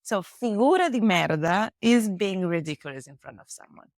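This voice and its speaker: A woman with an Italian accent talks as a guest in a casual podcast chat. She explains things through expressive examples and short laughs. Her voice sits at a middle pitch and is recorded dry and close. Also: normal speed, no room sound. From Italy. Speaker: language teacher, guest.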